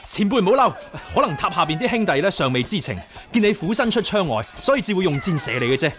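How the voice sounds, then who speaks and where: An actor playing a courteous, earnest young leader of martial arts heroes in a radio drama, with a Hong Kong Cantonese accent. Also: male voice, high-pitched, big pitch swings, fast talker, a bit bright. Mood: urgent. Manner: polite, earnest. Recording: radio drama with music behind.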